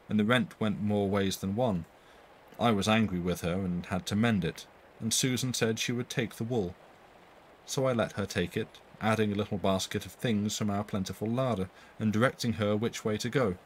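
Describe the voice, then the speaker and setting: A man's voice: fairly low pitch, normal speed. A man reading an old-fashioned story aloud as a livestream narrator. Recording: faint background noise.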